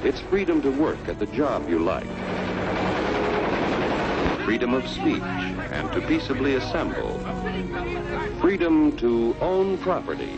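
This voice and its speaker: An actor playing a stately, earnest American announcer, reading scripted narration in 1940s film style. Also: male voice, mid-range voice, wildly swooping pitch, slow, pauses often, full character voice. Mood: solemn, proud. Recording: muffled old film soundtrack with music.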